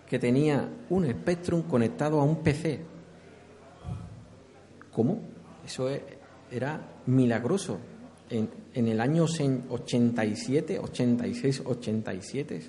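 A middle-aged man speaks with an accent from Spain in a medium-pitched voice. He recounts things conversationally as an interviewee, explaining step by step and often doubling back on his words. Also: dark tone. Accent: Spanish from Spain. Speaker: interviewee, former video game programmer.

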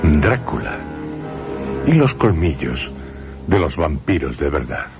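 Man, radio narrator, extremely deep voice, measured solemn delivery, clear music behind. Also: sing-song.

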